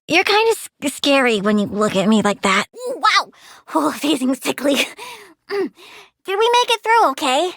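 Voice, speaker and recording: Young plant-girl villain, high-pitched, dry close sound, whimsical, moody, cheerfully menacing, video game character. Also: fairly bright tone, no echo, heavily acted. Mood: playful, chatty. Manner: girlish, whimsical, sulky, gleefully menacing.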